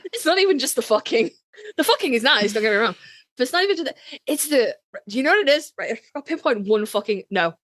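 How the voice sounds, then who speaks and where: A woman's voice: sits high, lively intonation, fast, a bit bright, dry recording. A woman co-hosting a casual fan podcast, chatting in a sweary, gushing, self-mocking way. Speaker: podcast co-host.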